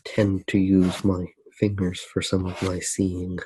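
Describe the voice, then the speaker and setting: A man narrating his drawing for ASMR in a soft-spoken, unhurried way, musing aloud as he works. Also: deep voice, completely flat delivery, husky, no echo. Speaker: ASMR artist.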